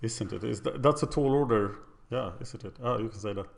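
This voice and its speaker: A man with a Swedish accent talks through his card game out loud, weighing each play and poking fun at his own choices. His voice sits at an ordinary middle pitch. Keyboard typing and mouse clicks can be heard behind him. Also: fairly quick, vocal fry. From Sweden. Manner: self-deprecating, thinking aloud. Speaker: game commentator. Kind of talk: casual gameplay commentary.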